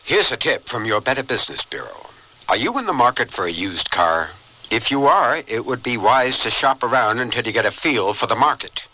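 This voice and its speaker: A man's voice: medium pitch, very animated, brisk, pushed and bright, a little hiss or hum, low-quality recording. A man reading a scripted radio public service announcement, as an advisory announcer.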